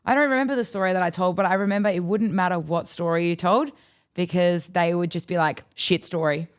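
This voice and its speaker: A woman's voice: lowish voice, steady tone, fairly quick. A young Australian woman co-hosting a casual podcast, asking questions and adding dry quips. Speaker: podcast co-host. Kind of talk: casual chat.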